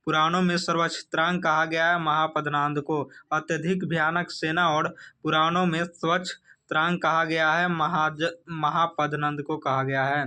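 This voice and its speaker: A man's voice: a little high, bright, forceful tone. A man giving a quiz lesson as a coaching teacher, reading questions and answers in an emphatic, repetitive instructional way.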